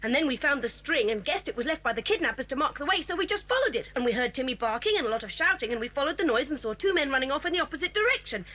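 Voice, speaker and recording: Quick, high-voiced eager English schoolgirl. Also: animated, bright, forceful tone. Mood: breathless. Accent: British. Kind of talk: British children's audio drama acting.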